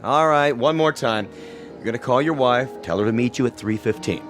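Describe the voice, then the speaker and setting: A man's voice: medium pitch, a little fast, fairly bright tone, soft background music, close to an everyday voice. An actor playing a wry, impatient male television director in a radio drama, sardonic, coaxing and bossy. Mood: brisk, bossy.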